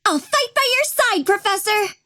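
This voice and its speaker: A woman's voice: very high-pitched, very animated, normal speed, pushed and bright, heavily acted. A woman voicing a perky, confident teenage girl Pokémon trainer in anime-style game lines.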